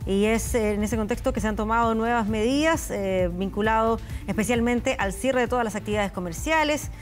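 A Chilean woman anchoring live news, speaking in a measured way with frequent hesitation fillers. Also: on the high side, faint music behind. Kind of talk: live studio news commentary.

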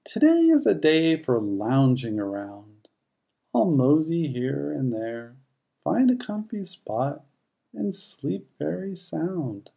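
A narrator voicing a lazy, relaxed beagle dog in an unhurried, rhyming children's storybook. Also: mid-range voice, vocal fry, full character voice.

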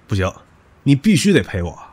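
A man's voice: lowish voice, very animated, a little fast, a little mellow, slightly rough, no room sound. A man playing a stubborn, possessive young suitor in a modern audio drama, talking in a cocky, crude, pushy way. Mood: grumbling, defiant. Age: young adult.

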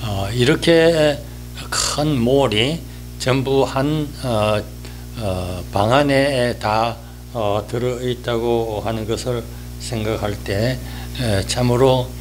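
An elderly man in his eighties giving a reminiscing monologue, speaking haltingly with frequent drawn-out hesitations. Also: mid-range voice, faint music behind. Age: 80s. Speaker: newspaper-style columnist giving a talk. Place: indoors, small office or studio, seated.

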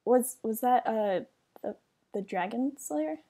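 A woman playing a hesitant magic guild member with halting speech in a fantasy game roleplay. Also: a little high, animated, mellow, full character voice. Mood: flustered.

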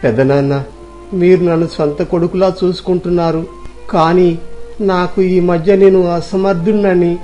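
Man acting a plaintive, high-voiced young nephew. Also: mellow, lo-fi sound, close to an everyday voice. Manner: plaintive, self-pitying. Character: gloomy, self-doubting young village nephew. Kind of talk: Telugu moral story audio drama.

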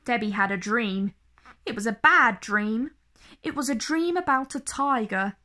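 A woman reading a children's story aloud in an expressive way, phrasing each line clearly and deliberately for young listeners. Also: a little high. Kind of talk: slow, expressive picture-book read-aloud.

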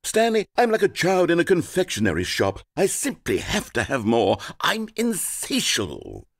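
A man's voice: a little high, huge pitch swings, moderate pace, vocal fry, no echo, exaggerated voice. A man voicing a sardonic, theatrical game narrator, recorded in a studio. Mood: wry. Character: sardonic, theatrical male storyteller.

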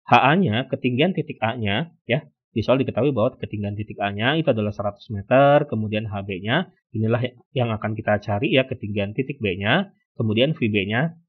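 A man tutoring, patiently walking through a physics problem and filling pauses with "ya" and "oke". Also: lowish voice, slightly rough. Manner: steady, patient, with frequent "ya" and "oke" fillers. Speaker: online tutor. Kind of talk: explaining a physics problem on a whiteboard. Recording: lo-fi phone-like recording.

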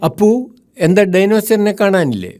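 A woman's voice: medium pitch, big pitch swings, moderate pace, close to an everyday voice. A woman voicing a patient, encouraging parent bee who explains things in a children's cartoon.

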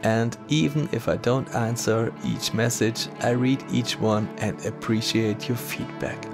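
A man's voice: mid-range voice, steady tone, a little slow, music in the background. A man with a German accent narrating a scripted electronics tutorial step by step. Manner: methodical step-by-step explaining. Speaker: maker channel host.

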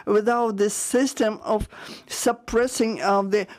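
A woman interpreting a panel discussion live, halting and searching for words with frequent restarts. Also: medium pitch, fairly even intonation, a little mellow.

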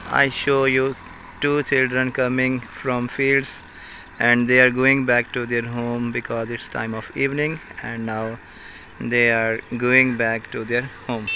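A young man with a Pakistani accent, a native Saraiki speaker, talks in halting English full of "uh" fillers while filming himself on a phone held at arm's length as he walks outdoors through farm fields. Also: mid-range voice, little pitch movement, noisy background. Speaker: village vlogger. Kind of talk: casual walking vlog. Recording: faint rural outdoor ambience.

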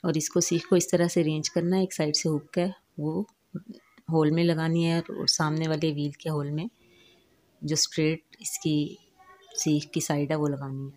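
A woman hosting a home cooking channel, calmly explaining each step of a recipe in a voice-over. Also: deep voice, normal speed. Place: home kitchen.

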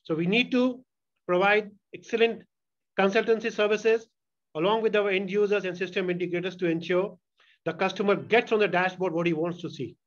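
A man giving a keynote presentation over a video call, walking through his slides in a steady, explanatory way. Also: high-pitched, brisk, highs cut off. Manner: steady, explanatory slide walkthrough with occasional restarts. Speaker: keynote speaker, sales executive. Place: indoor room, on video call. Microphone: video call webcam mic.

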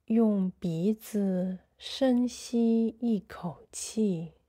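A woman guides a sleep meditation with a dark, relaxed tone and a medium pitch. Her delivery is extremely unhurried, in short, measured phrases with space between them. The manner is soothing throughout. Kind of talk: slow sleep meditation narration.

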